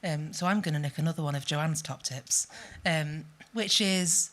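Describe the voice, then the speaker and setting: Woman, producer, hoarse from a cold, low-ish voice, casual and hesitant. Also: moderate pace, fairly bright tone. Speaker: producer, panelist.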